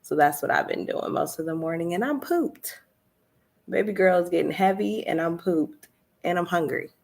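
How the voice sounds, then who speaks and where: A woman's voice: on the low side, animated, moderate pace, gravelly, a little mellow. A woman hosting a casual live stream, chatting with lots of fillers and laughs, with a slightly stuffy nose. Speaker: candle business coach hosting a live stream.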